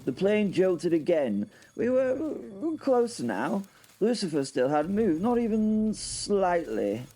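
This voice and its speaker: A man narrating a comic audio drama in the first person, halting, wry and theatrically exasperated. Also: high-pitched, sing-song, warm tone.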